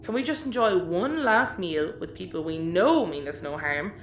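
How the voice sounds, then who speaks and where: A woman's voice: fairly low pitch, animated, moderate pace, soft background music, natural voice. A woman playing a sensible, dry-humoured spaceship crewmate, matter-of-fact and a little bossy, in a sci-fi audio comedy. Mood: exasperated, practical. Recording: echoey room, faint background noise.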